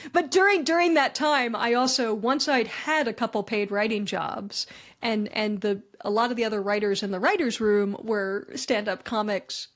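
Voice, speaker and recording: Chatty woman comedy writer. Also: a little high. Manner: chatty, self-deprecating, quick asides. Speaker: guest, comedy writer and speaker. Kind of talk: conversational interview answers.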